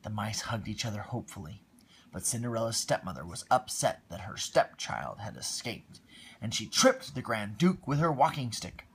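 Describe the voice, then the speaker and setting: A man reading a bedtime story aloud to his child on a phone livestream, expressive with quiet asides. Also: lowish voice, moderate pace, breathy edge.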